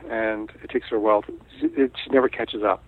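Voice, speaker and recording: A middle-aged man from Canada, Italian as his first language, talking in a thoughtful, unhurried way with frequent restarts in an interview. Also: on the low side, steady tone, a little hiss or hum. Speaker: guest artist. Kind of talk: casual interview talk. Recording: tinny phone line.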